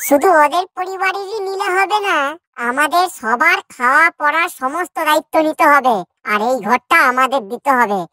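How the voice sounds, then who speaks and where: A man voicing bullying, greedy little cartoon ants with a West Bengali accent, pushy and crude, in a pitched-up voice. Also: normal speed, pushed and bright, dry recording, clean studio sound. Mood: demanding. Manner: pushy, demanding. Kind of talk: crude comedy cartoon dialogue.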